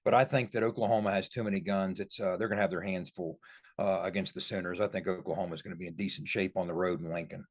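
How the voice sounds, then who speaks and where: Dude doing football analysis, slightly low voice, folksy, dry close audio. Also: male voice, steady tone, fast, dry recording. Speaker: podcast co-host, football analyst. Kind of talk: casual sports analysis.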